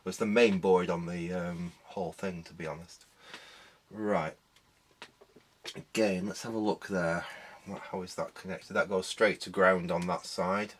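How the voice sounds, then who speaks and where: A man's voice: low voice, fairly even intonation, measured pace, a little hiss or hum. A man giving casual, hands-on repair commentary, muttering informally step by step as he works. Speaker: hobbyist electronics repairer and presenter.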